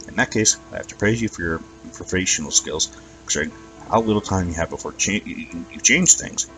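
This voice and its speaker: Regal pony princess, read by a man, exacting and formal, deep voice, light music. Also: a bit bright, poor audio. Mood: critical. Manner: formal, measured, critical.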